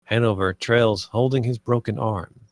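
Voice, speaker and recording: A man's voice: fairly low pitch, steady tone, normal speed. A man reading stage directions as narrator at a screenplay table read.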